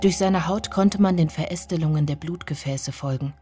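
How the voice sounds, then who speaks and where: An actress playing a woman who remembers her lost lover, narrating a literary radio drama in a hushed, deliberate, intimate way. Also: sits low, soft background music, close to an everyday voice. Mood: tense, haunted. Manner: hushed, deliberate, often breathy.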